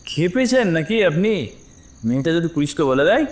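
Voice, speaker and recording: An actor playing a brash, suspicious trafficker in an audio drama, speaking in an incredulous, challenging way. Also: male voice, on the high side, sing-song, moderate pace, slight background noise. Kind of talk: Bengali audio drama acting.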